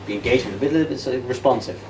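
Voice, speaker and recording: A man's voice: mid-range voice, racing. A man in his thirties whose first language is Dutch, giving a casual, self-deprecating debate workshop lecture with lots of restarts and fillers while he walks and gestures in a classroom. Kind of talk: interactive lecture. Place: classroom with projector screen, indoors. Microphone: far camera. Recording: echoey classroom from far off, noisy.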